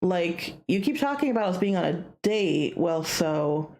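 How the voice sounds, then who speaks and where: A woman's voice: fairly low pitch, lively intonation, a little slow, darkish tone. A woman playing a shy, easily exasperated young man in a visual novel. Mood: hesitant, questioning. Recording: music behind the voice.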